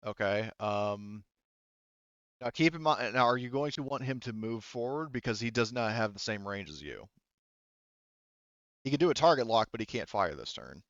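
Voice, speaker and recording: A man's voice: fairly low pitch, expressive, moderate pace, pauses often, no room sound, muffled recording. A man running a tabletop game as game master, talking casually and hesitantly as he thinks through and explains the rules.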